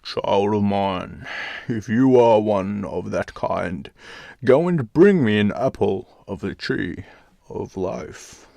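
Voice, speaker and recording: Man putting on a gruff, booming storybook giant. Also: lively intonation, no room sound, full character voice. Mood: commanding. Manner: loud, drawn-out, emphatic delivery. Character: huge male giant, booming, gruff and commanding.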